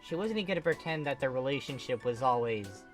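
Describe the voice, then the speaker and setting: A reader narrating a comic fan fiction story in an expressive, emphatic way. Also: mid-range voice, a little fast, faint music behind.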